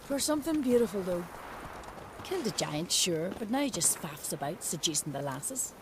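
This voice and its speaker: Lively wry village gossip. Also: female voice, lowish voice, moderate pace, very noisy. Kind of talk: video game dialogue acting. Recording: loud outdoor ambience, hooves and clicks.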